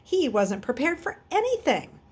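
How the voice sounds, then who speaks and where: A woman reading a children's novel aloud in an expressive way, occasionally stumbling and correcting herself. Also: sits high, normal speed. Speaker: reader.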